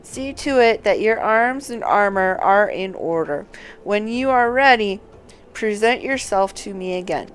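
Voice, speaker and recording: Woman reading as dutiful fantasy game mercenary guy, formal and cautioning, slow, slight noise behind. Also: medium pitch, lively intonation, close to an everyday voice. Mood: earnest, cautioning. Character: earnest, dutiful male sellsword recruiter in fantasy game.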